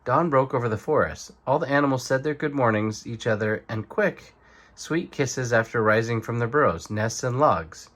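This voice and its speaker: A man reading a children's bedtime story aloud in an expressive narrating style. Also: medium pitch, moderate pace. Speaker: storybook reader.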